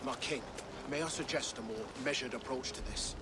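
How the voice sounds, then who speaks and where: A man's voice: mid-range voice, brisk, background noise, lightly acted. A man playing a restrained, proud royal warrior. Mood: cautious. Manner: formal, restrained. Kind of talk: dramatic game cutscene acting.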